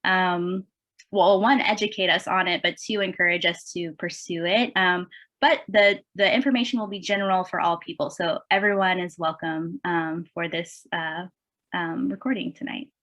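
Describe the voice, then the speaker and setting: A woman hosting an informal online event, introducing a speaker in a halting, hesitant way. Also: fairly low pitch, fairly even intonation, a bit bright.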